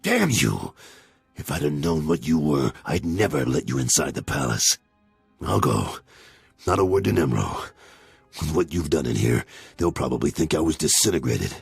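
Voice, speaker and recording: A furious, wounded middle-aged enforcer in a dramatic video game. His low voice is heavily raspy and gravelly, and it heaves between bitter muttering and explosive anger. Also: big pitch swings, normal speed, no room sound, faint music behind, heavily acted. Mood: defeated. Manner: bitter, explosive, swinging from shouts to low growls.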